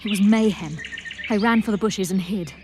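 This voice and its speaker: Actress as a guarded, shaken woman survivor, medium pitch. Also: normal speed, background noise, close to an everyday voice. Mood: grim recollection. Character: guarded, shaken female survivor and protector of royal children. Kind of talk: dramatic video game acting. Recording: music behind the voice.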